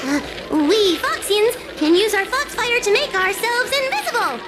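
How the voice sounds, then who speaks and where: A woman's voice: very high-pitched, sing-song, moderate pace. A woman voicing a timid, earnest young fox-girl exorcist in an anime-style game, hesitant and stammering as she explains things. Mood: nervous. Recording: game music behind the voice.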